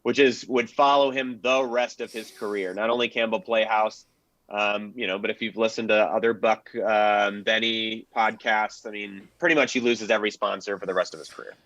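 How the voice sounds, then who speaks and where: A man's voice: fairly low pitch, steady tone, moderate pace, a bit bright, no room sound, muffled recording. A man giving informal, analytical panel commentary as a radio historian, explaining things in detail.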